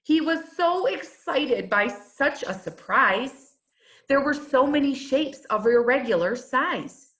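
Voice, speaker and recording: A woman reading a rhyming children's storybook aloud, in a measured and expressive teacher's manner. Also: sits high, poor audio.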